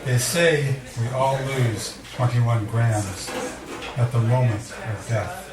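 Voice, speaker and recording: A man giving a live poetry reading, speaking in a measured, deliberate way with dramatic pauses and casual introductions. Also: medium pitch, steady tone, far from the mic. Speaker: poet reading at a poetry event. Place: indoor cafe venue before a live audience. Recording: echoey room recorded from afar, audience murmur.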